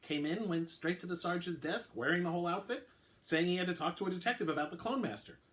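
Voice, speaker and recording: A reluctant uniformed officer who hedges every answer, speaking in a raised, uneasy pitch, as a male reader puts the character on. An apologetic, hesitant delivery. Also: quick pace, fairly bright tone. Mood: explaining.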